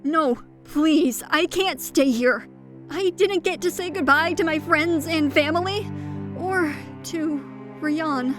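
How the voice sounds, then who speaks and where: Woman playing a high-voiced, earnest young goat girl. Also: slow pace, over music. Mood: pleading. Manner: hesitant, pleading, polite. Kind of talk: fantasy audio drama acting.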